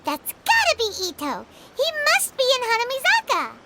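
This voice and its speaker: A woman voicing a tiny, chirpy, blunt floating girl companion in a video game, with a squeaky, high-pitched voice. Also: wildly swooping pitch, moderate pace, heavy background noise, full character voice. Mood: musing.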